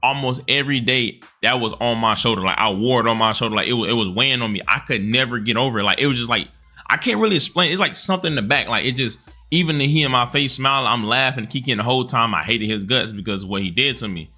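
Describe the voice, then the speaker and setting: A man's voice: mid-range voice, animated, quick pace, pushed and bright. A man giving casual opinion commentary in an earnest, emphatic, slangy way.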